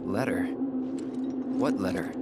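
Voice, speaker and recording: Low, terse young mercenary. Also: male voice, huge pitch swings, very slow, heavy background noise, exaggerated voice. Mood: guarded. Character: terse, cool young male mercenary hero. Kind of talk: game cutscene dialogue.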